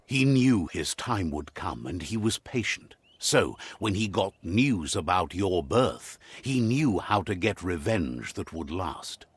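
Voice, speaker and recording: An actor voicing a wry, wise male storyteller, narrating a video game fable in a measured, theatrical way. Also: low-pitched, big pitch swings, husky, no room sound, heavily acted. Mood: grave, ominous.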